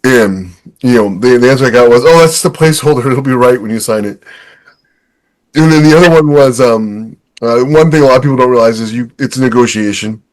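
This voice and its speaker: A man's voice: mid-range voice, fairly quick, rough recording. A man co-hosting a casual podcast, speaking emphatically and jokingly acting out bits of quoted dialogue. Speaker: podcast co-host and author. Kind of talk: casual opinionated chat.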